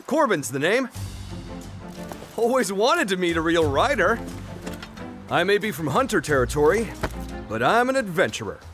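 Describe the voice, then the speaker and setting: A young man playing an eager, friendly adventurer in a game cutscene, with a casual, excitable way of talking. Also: sits high, moderate pace, long pauses, music in the background. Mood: friendly, grateful.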